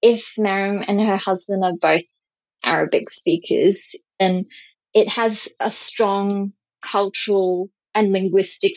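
A woman's voice: mid-range voice, no room sound. A woman expert explaining her view thoughtfully in an interview. The sound is dull and slightly lo-fi, with a dry, close feel.

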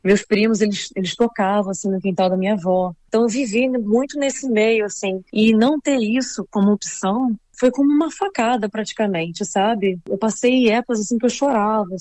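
A woman's voice: medium pitch, normal speed, few pauses, warm tone, no room sound. Brazilian woman, casual and close-sounding. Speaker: interviewee. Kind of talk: casual interview answers, personal reflection.

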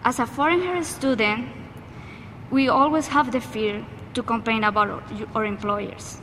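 Colombian woman, higher voice, echoing noisy recording. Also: unhurried, a bit bright. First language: Spanish. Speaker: interviewee, migrant worker. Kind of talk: interview testimony. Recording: noisy, long echoing reverb.

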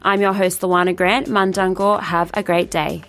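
A woman's voice: on the low side, steady tone, normal speed, vocal fry, a bit bright, soft background music. A woman radio host giving a scripted, friendly sign-off.

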